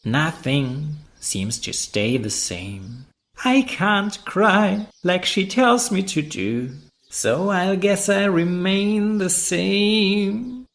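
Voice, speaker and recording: A woman's voice: mid-range voice, expressive, very slow. An actress playing a numb, resigned woman in a subdued audio drama monologue.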